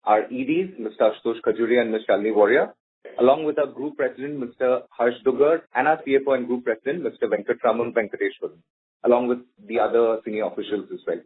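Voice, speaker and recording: A man hosts a corporate earnings call, reading prepared remarks to introduce management. His voice is husky and mid-pitched. The sound is narrow and muffled, like a conference phone line. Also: fast talker.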